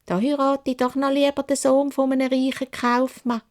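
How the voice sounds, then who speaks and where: A woman's voice: high-pitched, little pitch movement, moderate pace, a little mellow, pitched above the performer's own voice, close to an everyday voice. A woman storyteller with a Swiss German accent voicing haughty, greedy young sisters in a whiny, demanding way. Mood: scornful.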